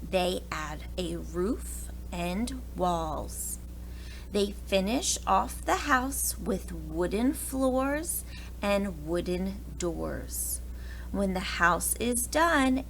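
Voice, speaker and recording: Female children's story reader, expressive teacher delivery, very unhurried, faint noise behind. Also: lowish voice, gravelly, rough recording. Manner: expressive, talks directly to young children. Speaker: preschool teacher reading aloud. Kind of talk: children's picture book read-aloud with asides.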